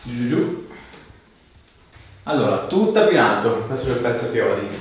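A man's voice: mid-range voice, very animated, moderate pace. A man in his thirties working as a chiropractor, chatting casually and giving a patient brief, repeated instructions. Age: 30s. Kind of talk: casual chat and short instructions to a patient during treatment. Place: small bare treatment room, indoors. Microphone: camera a few steps away. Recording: echoey room, distant mic, faint noise.

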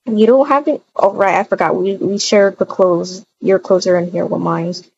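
A woman's voice: lowish voice, steady tone, normal speed, gravelly, darkish tone, husky, dull audio. A woman improvising a voiced video-game roleplay, chatting and narrating her own actions aloud.

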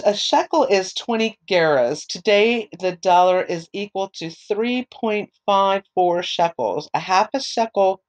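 A woman teaching a Bible study whose voice is fading from allergies. She reads scripture aloud slowly, adds short explanations, and spells out names letter by letter. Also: on the low side. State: losing her voice from allergies.

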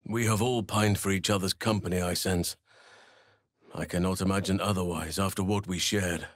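An actor playing a gentle, earnest druid and guardian of children in a fantasy game, speaking in formal, measured, courtly phrases. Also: male voice, deep voice, steady tone, no room sound, studio quality, lightly acted. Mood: tender, sincere.

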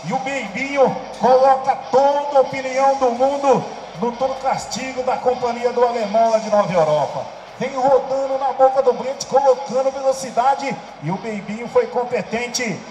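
A man's voice: very high-pitched, moderate pace, darkish tone, slight background noise. A man from the of São commenting on rodeo replays, explaining each rider's technique. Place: rodeo arena. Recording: echoey arena PA with long reverb.

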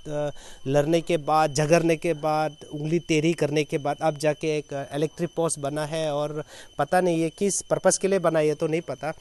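Higher-voiced man with an Arunachal Hindi accent. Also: flat delivery, normal speed, a little mellow. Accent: Northeast Indian (Arunachal). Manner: earnest, repeats phrases in lists for emphasis. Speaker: foundation chairman, activist. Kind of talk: press statement, spoken off the cuff. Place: outdoors in an open clearing with trees. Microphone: handheld reporters' microphones held at chest height.

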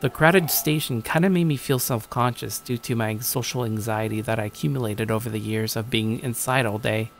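A man's voice: medium pitch, normal speed, few pauses, slight background noise, lightly acted. A man playing a jaded, self-conscious young shut-in, telling his story in the first person with weary, sardonic asides. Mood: matter-of-fact. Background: background music.

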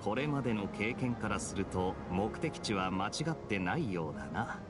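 A man playing an easygoing, suave young captain in an anime game, with a smooth, unhurried way of talking. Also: lowish voice, expressive, over music, natural voice. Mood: puzzled. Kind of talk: Japanese anime game acting.

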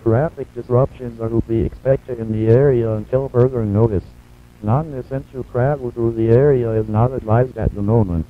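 Man as automated emergency alert announcer, flat, formal, measured, muffled lo-fi VHS broadcast sound. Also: medium pitch, lightly acted. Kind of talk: scripted emergency broadcast warning.

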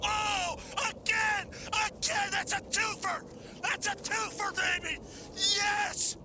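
An actor playing an ecstatic male TV weather correspondent, hooting with wild excitement in an audio drama. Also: very high voice, slow, very noisy. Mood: wild elation. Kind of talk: shouted outdoor TV report in audio drama.

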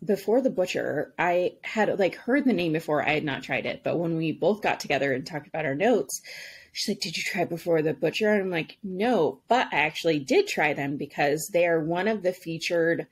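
A woman co-hosting a podcast, with a husky, slightly breathy voice pitched a bit low. She chats in a relaxed, conversational way, leaning on fillers as she talks. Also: moderate pace. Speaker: podcast co-host. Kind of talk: casual chat.

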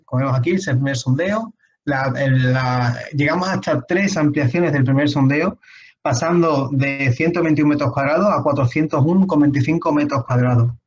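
A man in his thirties with an Andalusian Spanish accent, slightly hoarse, presenting archaeology over slides in a halting way full of restarts. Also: a little high. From Spain. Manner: halting, many restarts and fillers. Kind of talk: conference talk over slides. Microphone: webcam on a video call. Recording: dull lo-fi video call audio.

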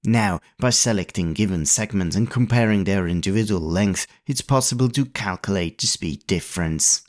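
A man with a somewhat low voice talks through a technical walkthrough in an even, methodical way. He sounds like a hobby channel host reading a prepared voice-over. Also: normal speed. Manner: calm, methodical explaining. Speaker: retro computing video host. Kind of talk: scripted tutorial voice-over.

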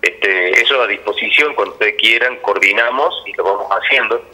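A middle-aged man with an Argentine accent explains his work in a radio interview by phone. His voice sits a little low in pitch, yet it is very bright and forceful in tone. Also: normal speed, rough recording. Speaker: theatre director, interview guest.

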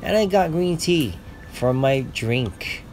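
A man's voice: mid-range voice, lively intonation, slow pace, darkish tone, a little hiss or hum. A man in his forties narrating a casual vlog, explaining food and his neighborhood to viewers in a chatty way. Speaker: vlogger.

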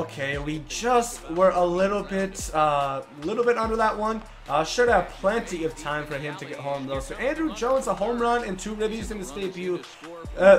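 A young man doing casual, excitable play-by-play over his own video game, a bit congested from a cold, in a small room at home. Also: high voice. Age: young adult. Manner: excitable play-by-play, calls hits like a broadcaster. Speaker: gaming YouTuber. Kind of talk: casual gameplay commentary.

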